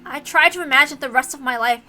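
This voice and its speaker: A woman's voice: sits high, fast talker, pushed and bright, soft background music. A woman voicing a blunt, passionate young heiress, defiant and emphatic, speaking in slangy lines as in a visual novel. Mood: anguished resolve.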